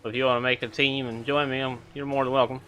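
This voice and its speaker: A man's voice: medium pitch, level tone, fast, sharp tone. A man casually chatting to viewers while streaming a game. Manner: laid-back, chatty.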